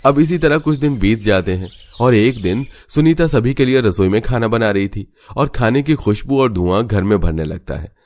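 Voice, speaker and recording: A man's voice: on the low side, a little mellow. A man reading scripted narration as a story narrator.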